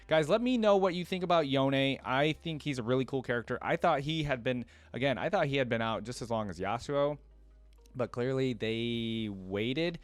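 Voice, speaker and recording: A man hosting a reaction video, commenting casually and musing out loud as he goes. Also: medium pitch, moderate pace, no echo, faint music behind. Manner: musing, often repeats quoted lines back.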